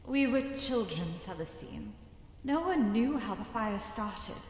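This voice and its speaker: A woman voicing the knowing spirit of a dead sister in a game mod, measured and portentous, with hushed phrase endings. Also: medium pitch, animated, breathy edge. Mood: tender, forgiving. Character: long-dead sister's spirit, solemn, knowing, finally forgiving. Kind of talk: dramatic video game mod voice acting.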